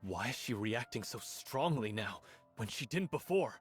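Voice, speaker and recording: A sinister overseer, a man of middling pitch who gives orders in a game cutscene. He is cold, clipped and authoritative, with faint music behind him. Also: expressive, moderate pace, breathy edge. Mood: coldly commanding. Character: cold, commanding male overseer of sinister experiments. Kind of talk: video game cutscene acting.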